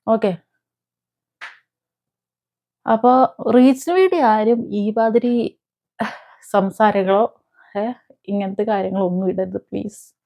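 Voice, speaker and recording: A woman talking casually to viewers as an online commentator, halting and often searching for words. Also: fairly high pitch. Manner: halting, searching for words, with frequent hesitant pauses. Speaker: YouTube commentator. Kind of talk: casual reaction vlog talk to viewers. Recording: dull, lo-fi sound.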